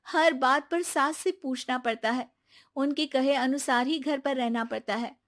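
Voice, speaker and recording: A woman's voice: high voice, fairly bright tone, pitched above the performer's own voice. A woman voicing an earnest, unsure young married daughter with a plaintive, questioning manner in a cartoon moral story.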